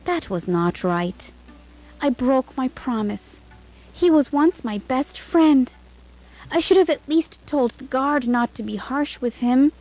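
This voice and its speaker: A woman voicing a proud young royal with an uneasy conscience in a storybook, deliberate and self-justifying. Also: sits high, animated, darkish tone, soft background music, voice pushed up, exaggerated voice, poor audio. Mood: guilty.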